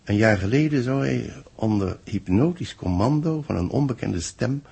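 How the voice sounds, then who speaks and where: An actor playing a grave space research official in a 1960s radio drama, explaining things in a measured, formal way. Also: male voice, mid-range voice, lively intonation, creaky, darkish tone, breathy edge. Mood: earnest, explaining.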